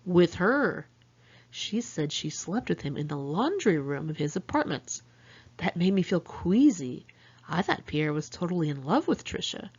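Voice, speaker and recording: Low-pitched woman reading memoir, dull recording. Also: a little mellow, husky. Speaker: author reading own memoir. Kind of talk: first-person memoir audiobook reading.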